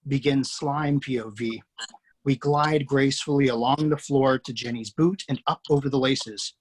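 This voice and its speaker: Male reader of script action lines, husky, steady delivery. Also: medium pitch, moderate pace, rough recording. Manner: steady, matter-of-fact. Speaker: screenplay reader. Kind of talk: reading screenplay action lines.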